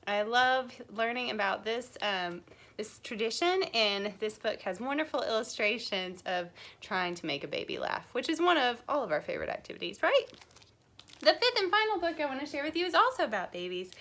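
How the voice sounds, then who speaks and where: A woman hosting a children's storytime, talking to young viewers in an animated, encouraging way with playful questions. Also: mid-range voice. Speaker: children's library storytime host.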